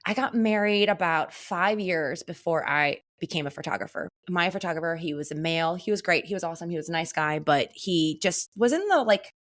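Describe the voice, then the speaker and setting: A woman co-hosting a podcast, chatting casually and telling stories in a loud, animated way as a wedding photographer. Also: fairly low pitch, fairly quick, few pauses. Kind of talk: casual chat.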